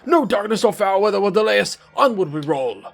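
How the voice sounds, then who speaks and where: A man's voice: sits high, lively intonation, fairly quick, creaky, faint music behind, heavily acted. A man playing a self-assured, theatrical cave guide with a pompous manner. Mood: confident, grand. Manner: theatrical, confident.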